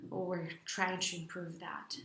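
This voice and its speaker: A woman's voice: takes it slowly, roomy sound. A Brazilian woman who speaks English as a second language with a Brazilian Portuguese accent, chatting casually with many fillers and restarts. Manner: chatty, full of fillers and restarts, bursts into high excited quotes. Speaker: English teacher and vlogger. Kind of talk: casual chat to camera.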